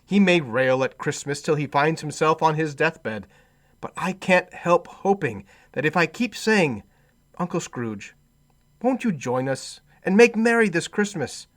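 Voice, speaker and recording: A man plays a good-humored, forgiving nephew in a radio play. His voice sits fairly high and swings freely in pitch, hearty and earnest throughout. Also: lively intonation, unhurried. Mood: earnest, pitying.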